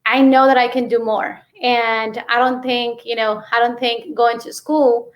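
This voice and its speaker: High-pitched woman with a Mexican accent. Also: level tone. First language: Spanish. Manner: halting, peppered with "you know". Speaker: interview guest, businesswoman. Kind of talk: casual personal storytelling.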